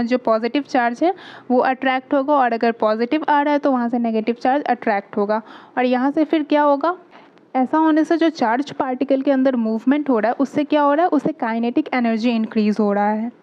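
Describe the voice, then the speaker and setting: A woman with an Indian accent speaks in a clearly high voice as she teaches. She explains step by step, posing questions and answering them herself, with English technical terms mixed in. The recording is slightly dull and lo-fi. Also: brisk. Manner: didactic, asks and answers own questions. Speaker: physiotherapy teacher. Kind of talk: explanatory lesson in simple steps.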